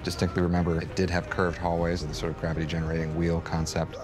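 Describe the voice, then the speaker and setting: A man's voice: deep voice, completely flat delivery, fast talker. A man speaking informally in a behind-the-scenes interview, thinking aloud as he goes. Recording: music under the voice. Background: music.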